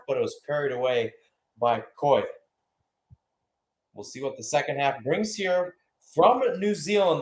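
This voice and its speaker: A man's voice: on the high side, a little mellow. A man giving radio-style live play-by-play football commentary as the match commentator.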